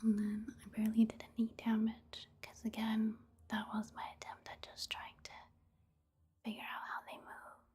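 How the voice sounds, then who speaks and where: A woman giving soft-spoken ASMR game commentary in a hushed, breathy whisper, in a gentle, casual, unhurried manner. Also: fairly high pitch, monotone. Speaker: gaming ASMR video host.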